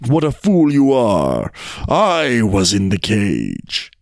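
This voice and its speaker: A man voicing a savage, impatient tiger in a storybook tale, growling out slow, emphatic words. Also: on the low side, huge pitch swings, gravelly, a little mellow, no room sound, clean studio sound, full character voice. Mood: impatient rage. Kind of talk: storybook character reading.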